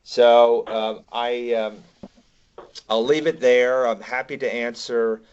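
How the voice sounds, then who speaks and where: A man giving an unscripted briefing on a conference call, speaking haltingly with many pauses. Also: medium pitch, little pitch movement, a little mellow, clear, resonant tone. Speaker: advocacy staff briefing members. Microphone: conference phone call. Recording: dull, lo-fi conference call audio.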